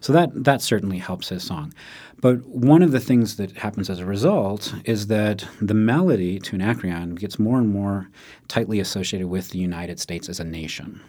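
Man, lower voice, casual explainer, music historian, animated asides. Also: normal speed. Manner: animated, acts out quotes in raised voice, frequent "right?". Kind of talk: conversational explanation.

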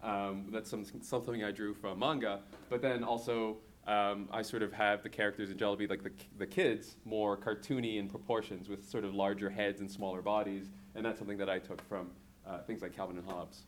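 A comics creator in his thirties gives a talk to an audience in a somewhat low voice. He is from Hong Kong. He often pauses with fillers and restarts his sentences. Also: flat delivery, a little hiss or hum. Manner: hesitant, many fillers and restarts.

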